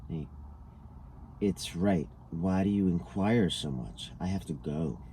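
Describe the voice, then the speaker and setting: A man's voice: sits low, slow pace, warm tone. A man reading a scripted interview dialogue aloud, taking each speaker's lines in turn. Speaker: reader of a written transcript. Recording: noticeable background noise.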